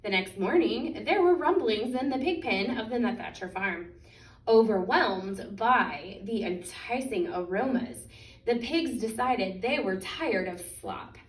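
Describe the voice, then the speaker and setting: Female storytime reader, higher register, expressive and deliberate picture-book delivery, recorded at a distance in a roomy space. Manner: expressive, deliberate, stretching key words. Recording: echoey room, distant microphone.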